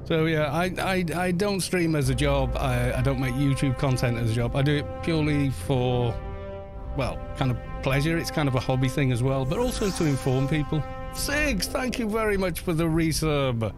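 A man's voice: fairly high pitch, animated, moderate pace, over music. Chatty Welsh man in his fifties. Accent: Welsh with some northern English. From Wales. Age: 50s. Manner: chatty, rambling, laughs easily, reads chat aloud. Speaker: game streamer. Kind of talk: live stream commentary while playing.

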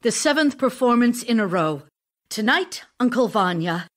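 A woman's voice: fairly high pitch, lively intonation, normal speed, natural voice. A woman playing a vivacious, self-dramatizing actress wife in an audio drama, effusive and teasing, devoted yet restless. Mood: keyed up, affectionate. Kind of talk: audio drama acting, reading love letters.

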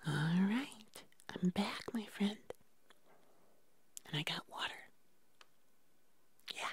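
A woman voicing a gentle, doting furry puppet creature in a soft, breathy whisper, murmuring soothingly in an ASMR roleplay. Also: medium pitch, expressive, full character voice. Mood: gentle, nurturing. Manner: slow, soothing, affectionate murmuring.

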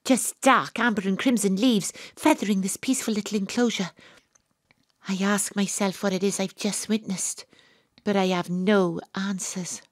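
An actress with a Welsh accent playing an excitable young woman investigator in an audio drama, with breathless, suspenseful delivery. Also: mid-range voice, moderate pace, dry recording, clean studio sound, lightly acted. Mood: shaken. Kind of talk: audio drama narration and dialogue.

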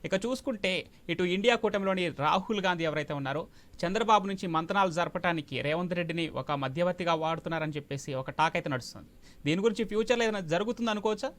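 A man's voice: high-pitched, lively intonation, fast talker, fairly bright tone. A man working as a news anchor, reading reports and putting questions to a correspondent.

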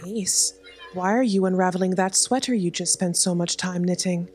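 An actress playing a patient, caring sentient spaceship, speaking gently in a staged audio drama. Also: female voice, lowish voice, moderate pace, soft background music, natural voice. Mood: concerned. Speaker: stage actor. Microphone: stand microphone on stage.

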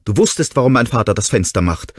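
Ein Mann spricht eine junge Frau, verletzt und wütend, mit schrillen, flehenden Ausbrüchen. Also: male voice, animated, fast talker, husky, dry recording, clean studio sound. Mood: furious. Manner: shrill, pleading outbursts. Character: young woman, hurt and furious.

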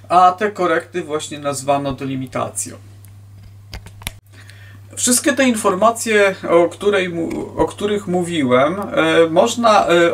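Male lecturer, 50s, slightly high register, hesitant informal delivery with false starts, miked from a distance indoors. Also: fairly bright tone. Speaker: historian giving a lecture. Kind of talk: informal history lecture to camera. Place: book-lined room at home, indoors. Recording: distant microphone in a room.

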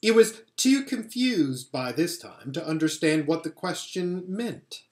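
Middle-aged man, story narration, unhurried, lively intonation, roomy home recording. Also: a little high. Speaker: pastor reading books aloud. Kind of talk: storybook read-aloud narration. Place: furnished living room indoors. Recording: roomy home webcam sound.

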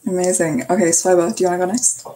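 A woman's voice: fairly low pitch, fairly even intonation, dark tone, poor audio. A young woman from Canada hosting a casual group discussion over a video call, passing the word from guest to guest. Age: young adult. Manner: friendly, quick hand-offs between guests. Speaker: host.

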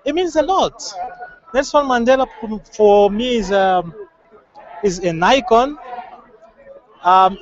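High-pitched, earnest Congolese man. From Democratic Republic of the Congo. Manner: earnest, hesitant, halting phrasing. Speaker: member of the public being interviewed. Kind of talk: reflective street interview. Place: outdoors at a crowded street gathering. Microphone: handheld interviewer's microphone. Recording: muffled, band-limited outdoor field audio.

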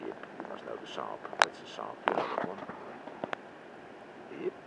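A man's voice: rumbling low, expressive, creaky. A man with a Dutch accent gives a casual, musing commentary to himself while driving through town, filmed from the dashboard. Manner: slow, musing, talks to himself in short remarks. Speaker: vlogger filming from a car. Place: inside a car in city traffic. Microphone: camera mounted on dashboard. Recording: noisy car interior with engine.

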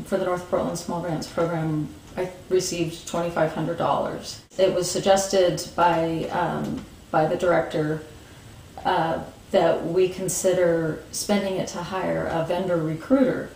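A woman, answering interview questions thoughtfully with pauses and restarts, seated in a room with bookshelves. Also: fairly low pitch, a little mellow, roomy sound, distant mic, a little hiss or hum, low-quality recording. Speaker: market organizer.

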